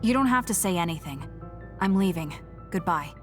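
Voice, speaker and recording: Music sits clearly behind an actress as a young female soldier in a video game. Her voice is on the low side for a woman, and she speaks bluntly and formally, cool and reserved but caring underneath. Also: expressive, normal speed, close to an everyday voice. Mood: cold reproach. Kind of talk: video game English voice acting.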